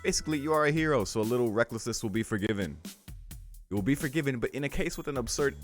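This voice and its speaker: A man voicing a brisk, scolding comic teacher from a visual novel, lecturing in a mock-serious way. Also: mid-range voice, expressive, soft background music, pitched above the performer's own voice, natural voice. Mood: brisk, dismissive.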